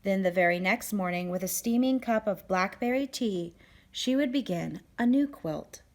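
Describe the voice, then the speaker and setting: A woman storyteller reads a children's picture book aloud. Her voice sits at a middling pitch, and she phrases deliberately, giving key words expressive, dramatic emphasis.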